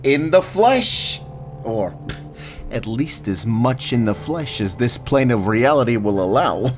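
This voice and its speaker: Man playing a wisecracking Grim Reaper with swooping pitch. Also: expressive, a little slow, faint music behind, close to an everyday voice. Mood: smug, sinister. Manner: smug, jokey, slangy wise-guy talk. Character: cocky, wisecracking male Grim Reaper, casual and teasing. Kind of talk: fantasy audio roleplay character acting.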